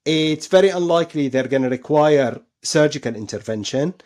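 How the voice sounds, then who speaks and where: A man's voice: on the high side, moderate pace, a little mellow. A man speaking as an expert surgeon guest, explaining things in an interview, often repeating and rephrasing himself for emphasis.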